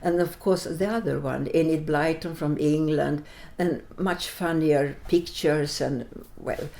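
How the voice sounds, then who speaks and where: An older woman from Sweden, a native Latvian speaker, answering interview questions reflectively in halting English as she searches for words. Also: low-pitched, creaky, darkish tone. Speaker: interview guest.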